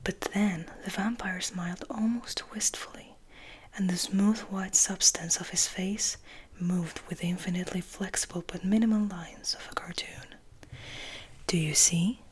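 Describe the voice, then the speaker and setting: A woman reading a story aloud in a gentle, hushed, breathy ASMR whisper. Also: mid-range voice, fairly even intonation, normal speed.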